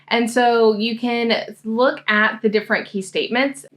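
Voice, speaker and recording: Woman, slightly high voice, explains software step by step for a tutorial. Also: fairly even intonation, a little slow. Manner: friendly, explanatory screen-demo talk. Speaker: science grad school coach.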